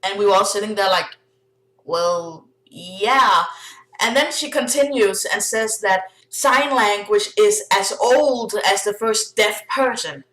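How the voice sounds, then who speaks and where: A young woman with a Danish accent talks casually to the camera, full of ums and little laughs. Her voice sits at an ordinary pitch for a woman. The room around her is noticeably echoey. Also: takes it slowly, a bit bright. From Denmark. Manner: chatty, giggly, many fillers. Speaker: vlogger. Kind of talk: casual vlog chat.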